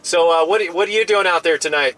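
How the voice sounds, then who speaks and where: A man with a fairly high voice chats amiably from inside his parked truck as a mobile radio operator. He uses casual radio lingo and tends to double up his phrases. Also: fast, heavy background noise. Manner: friendly, chatty, often repeats himself. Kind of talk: casual radio contact chat, using operator jargon.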